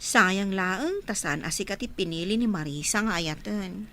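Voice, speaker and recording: A woman's voice: mid-range voice, lively intonation, normal speed, pushed and bright, slight background noise. A woman acting a gossipy, teasing young schoolmate in a radio drama, with a Filipino accent.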